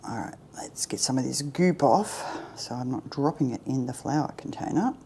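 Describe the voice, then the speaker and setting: A middle-aged woman chatting her way through a baking tutorial in her home kitchen, self-deprecating and often dropping to a soft near-whisper. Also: a bass voice, animated, moderate pace, slight background noise. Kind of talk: casual cooking demonstration.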